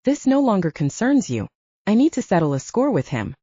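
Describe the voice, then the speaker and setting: A woman acts out a fierce werewolf man in a paranormal audiobook. He is possessive and commanding, in a mellow voice recorded dry. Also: medium pitch, very animated, a little fast, husky, dry recording, lo-fi sound.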